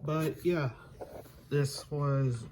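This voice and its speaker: A man aged twenty-one giving a casual, unscripted demonstration as a hobbyist, halting and pausing mid-sentence. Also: on the high side, steady tone, darkish tone. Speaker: hobbyist showing weather radios. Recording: home recording with faint background noise.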